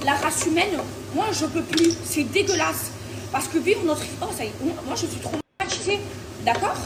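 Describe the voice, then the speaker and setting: A woman's voice: extremely high pitch, expressive, normal speed, far from the mic. A woman from France ranting indignantly, her voice shaky and half laughing, half crying. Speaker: influencer in a clip. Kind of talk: emotional rant to camera. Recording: echoey noisy room from off mic.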